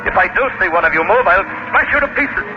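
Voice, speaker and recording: A man's voice: high-pitched, lively intonation, quick pace, voice pushed up. A man voicing a nervous writer with strained bravado in a 1940s radio horror play. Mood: frightened, threatening. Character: nervous, defiant male writer alone among waxworks. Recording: dull vintage radio recording with music.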